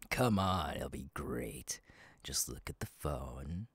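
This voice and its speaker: A man voicing a sadistic masked killer in an ASMR roleplay, husky and taunting, slow and halting, often dropping to a whisper. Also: very deep voice, animated, a bit bright, no room sound, heavily acted. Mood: coldly taunting. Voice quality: husky, gravelly. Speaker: voice actor, ASMR artist. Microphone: close, dry microphone.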